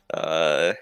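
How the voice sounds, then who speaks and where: A man's voice: extremely low pitch, a bit bright, clear, resonant tone, no room sound. A man playing a tabletop roleplaying game, chatting casually and thinking aloud in a hesitant way.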